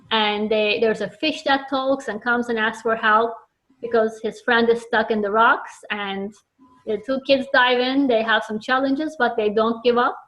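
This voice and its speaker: A woman's voice: a little high, fairly bright tone. A woman from Turkey with a Turkish accent, earnestly explaining her work as a children's book author in an interview, often restarting her sentences. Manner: earnest, thoughtful, with frequent restarts. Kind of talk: interview answers. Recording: dull lo-fi audio.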